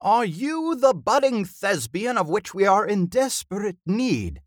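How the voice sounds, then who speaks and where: Elderly thespian, flamboyant, bellowing, high pitch, theatrical, storybook. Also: male voice, sing-song, measured pace, dry recording, clean studio sound. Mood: grand, effusive. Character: flamboyant, grandiose old male stage actor.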